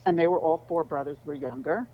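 Middle-aged New woman, low voice, relaxed chatty guest, dull lo-fi audio. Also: a little fast. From New York City. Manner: relaxed, conversational, frequent ums and laughs. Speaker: guest, lawyer. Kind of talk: casual interview conversation.

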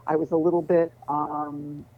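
Middle-aged New woman, low voice, relaxed chatty guest, dull lo-fi audio. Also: unhurried. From New York City. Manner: relaxed, conversational, frequent ums and laughs. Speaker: guest, lawyer. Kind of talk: casual interview conversation.

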